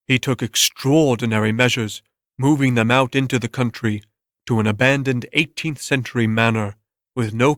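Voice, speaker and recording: A man's voice: medium pitch, dry recording, studio quality. A man narrating a story as a scripted dramatic reading.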